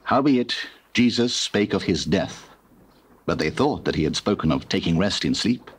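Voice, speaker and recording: A man with a British accent reading dramatized scripture as narrator, measured and solemn. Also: sits low, expressive, husky. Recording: hissy old cassette recording.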